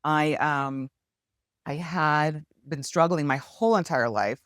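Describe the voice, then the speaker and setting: A woman's voice: low-pitched, slow, fairly bright tone, dry recording. Woman on a podcast, earnest and heartfelt, dry close sound. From United States. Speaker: podcast guest, hypnosis healer. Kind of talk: candid conversational storytelling.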